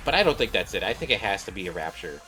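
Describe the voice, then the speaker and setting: Male game streamer, low pitch, slangy, thinking out loud, casual commentary, game music behind. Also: sing-song, rapid-fire, rough recording. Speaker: gaming streamer. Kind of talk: casual gameplay commentary, reading dialogue aloud.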